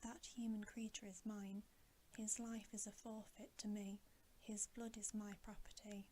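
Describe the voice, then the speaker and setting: A woman's voice: medium pitch, little pitch movement, a little slow, warm tone, a little hiss or hum, natural voice. A woman whispering as a cold witch queen. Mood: menacing. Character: savage, proud witch queen, cold and menacing. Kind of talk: whispered ASMR bedtime story reading.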